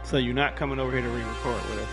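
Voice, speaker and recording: A man's voice: medium pitch, fairly even intonation, racing. A man chatting casually and giving slangy, laid-back instructions during a video game. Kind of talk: casual chat while coaching a video game. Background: music.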